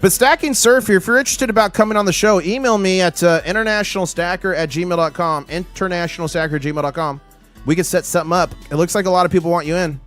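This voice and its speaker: A man hosting a casual livestream, his voice hoarse, talking with excitable hype-man energy. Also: sits high, fast talker, faint music behind. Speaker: livestream host.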